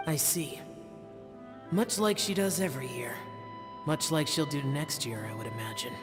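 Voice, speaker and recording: A man's voice: fairly high pitch, normal speed, close to an everyday voice. Man as an aloof, brooding young immortal warrior. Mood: reserved. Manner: terse, reserved, stiff. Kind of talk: English video game voice acting. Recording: music clearly behind the voice.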